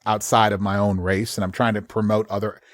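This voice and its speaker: A man, a voice actor, chatting casually in an interview and telling stories with lively quick voice changes. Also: fairly low pitch, no room sound, clean studio sound. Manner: animated storyteller, slips into quick voices. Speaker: voice actor guest.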